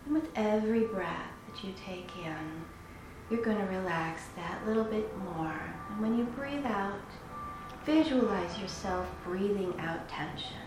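A woman leading a guided meditation in a soothing, unhurried manner, pausing between phrases. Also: medium pitch, animated, background noise. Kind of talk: guided meditation, slow instruction. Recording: very echoey room with music. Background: meditation music.

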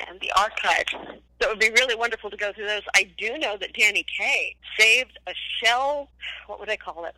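A woman's voice: mid-range voice, sharp tone, dry recording. An older woman chatting as an interview guest over a phone-like line, effusive and repeating phrases for emphasis. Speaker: interview guest, former child actress. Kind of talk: casual interview chat. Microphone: remote call.

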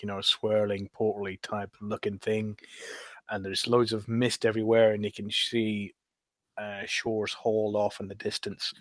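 A man on a podcast panel casually recounting a video game quest, haltingly groping for words as he goes. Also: fairly low pitch, dry recording.

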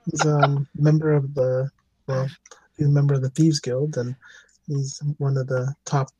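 A man's voice: medium pitch, level tone, moderate pace, mellow, husky, low-quality recording. A man taking part in a podcast panel, talking casually about a video game.

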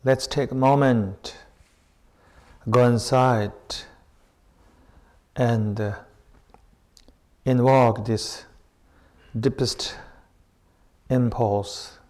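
A man leading a guided meditation as a teacher, speaking in short, unhurried phrases with long pauses between them. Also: medium pitch, fairly even intonation, darkish tone. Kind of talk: slow guided meditation with spoken reflections.

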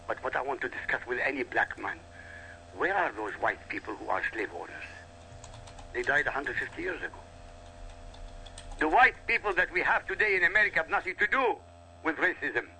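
Emphatic Arabic-native man, bright voice. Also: on the high side, expressive, a little fast, pauses often, slight background noise, rough recording. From Iraq. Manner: forceful, emphatic, repetitive lecturing. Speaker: guest commentator. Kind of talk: heated radio talk.

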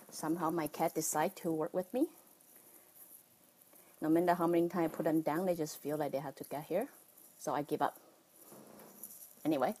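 Halting woman with a Taiwanese accent. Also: on the low side, darkish tone. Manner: halting, many ums, nervous laughs. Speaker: livestream host, life coach. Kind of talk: casual livestream talk.

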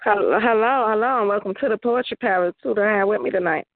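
A woman's voice: mid-range voice, fast talker, no room sound. Lady radio host cheering on callers, sounds tinny and dry. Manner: upbeat, hypes callers. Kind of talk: hosting a call-in poetry show.

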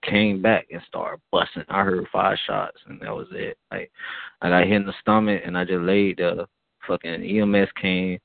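A man from Miami telling a rambling, profane story in casual chat, with a halting manner. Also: low voice, little pitch movement, gravelly, no room sound. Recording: phone-like band-limited audio.

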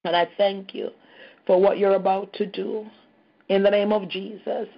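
A woman's voice: mid-range voice, flat delivery, normal speed, creaky. A woman leading a fervent intercessory prayer on a group call, speaking with intense passion and returning to "oh God" between her phrases. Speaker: prayer leader. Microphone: phone or conference call. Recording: muffled lo-fi call audio.

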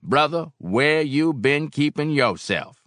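A man voicing a big barkeeper in an audiobook, folksy and unhurried, genial yet gloomy and firm. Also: on the high side, expressive, a bit bright, no echo, studio quality, voice pushed up. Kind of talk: audiobook character acting.

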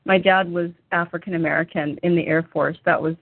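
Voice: mid-range voice, steady tone, brisk, gravelly, no room sound. A podcast host asking questions in a casual interview and sharing personal stories. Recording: phone-like remote call.

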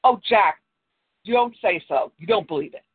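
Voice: mid-range voice, lively intonation, normal speed, slightly rough, dry recording. Reader doing a fretful tea-loving girl. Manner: read aloud with a plain voice. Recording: tinny phone-like line.

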